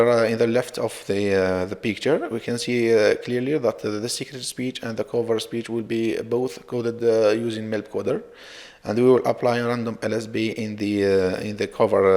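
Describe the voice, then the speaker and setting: A man giving an academic slide presentation as a researcher, with halting, hesitant delivery and frequent repeats. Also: medium pitch, a little mellow. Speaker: researcher presenting own work. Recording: reverberant, hall-like echo.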